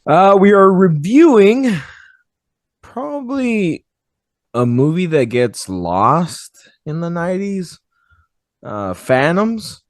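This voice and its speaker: A hoarse man hosting a podcast, chatting in a relaxed, rambling way with some swearing. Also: high-pitched, takes it slowly, pauses often, no room sound, clean studio sound. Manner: relaxed, rambling, fond of profanity. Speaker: podcast host. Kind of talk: casual chat.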